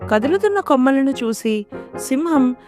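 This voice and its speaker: A woman's voice: sits high, animated, moderate pace, a little mellow. A woman storyteller reading scripted narration for a children's story. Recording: music behind the voice.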